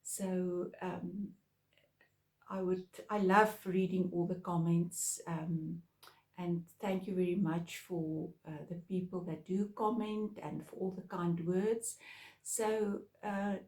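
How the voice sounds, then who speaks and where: Slow, mellow Afrikaans-accented woman. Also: on the low side, level tone, roomy sound, rough recording. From South Africa. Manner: hesitant, many pauses and fillers. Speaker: craft podcast host. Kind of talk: casual chat to viewers.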